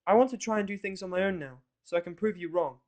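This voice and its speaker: A man voicing a proud, snarky young female cartoon pony who speaks in a grudging, halting way. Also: high voice, a little mellow, pitched above the performer's own voice, close to an everyday voice.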